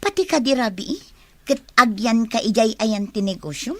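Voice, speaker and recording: A woman's voice: on the high side, lively intonation, moderate pace, fairly bright tone, dry recording. An actress playing a suspicious, probing woman of the household in an Ilocano radio drama, asking insinuating questions. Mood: prying.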